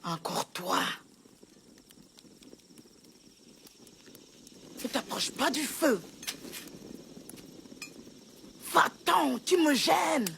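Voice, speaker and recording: A performer voicing a grumpy, self-important village grown-up in an animated film, indignant and forever scolding. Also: sits high, measured pace, gravelly, a little hiss or hum, full character voice. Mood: irritated, outraged.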